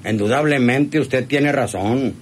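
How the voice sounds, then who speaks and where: A man's voice: mid-range voice, measured pace, natural voice. Man playing cowardly ranch henchman, Mexican accent, hesitant, eager to please, radionovela, faint noise. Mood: nervous, eager. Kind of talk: radionovela acting. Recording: noisy old radio serial recording.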